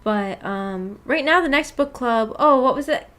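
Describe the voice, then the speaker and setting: Higher-voiced woman chatting casually on stream. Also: expressive, a little slow, a bit bright, faint music behind. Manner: giggly, rambling, self-deprecating. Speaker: livestream host of a visual novel book club. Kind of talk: casual chat, reading and answering viewer comments.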